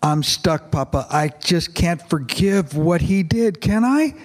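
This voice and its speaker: A man's voice: a little high, expressive, moderate pace, husky, voice pushed up. A man reading aloud and voicing a grief-stricken, bitter father who pleads in a raised, anguished voice. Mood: pleading.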